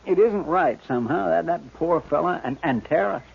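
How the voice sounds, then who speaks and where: An actor playing an earnest, deferential deputy sidekick with a folksy way of talking in a 1950s radio western. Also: male voice, fairly high pitch, animated, moderate pace, creaky, husky, full character voice. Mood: troubled, pleading. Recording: dull vintage 1950s radio recording.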